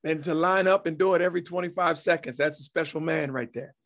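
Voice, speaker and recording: A male podcast host with a somewhat high voice keeps cheering on his guest. He affirms often and guides the chat with loose, friendly questions. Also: fast talker, a bit bright. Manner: encouraging, frequent affirmations. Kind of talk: casual interview.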